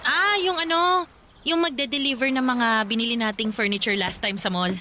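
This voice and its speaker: A woman's voice: high-pitched, moderate pace, bright, forceful tone, a little hiss or hum. A woman playing a frank young single woman in a Tagalog radio drama, speaking in a candid, conversational, emotive way. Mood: cheerful.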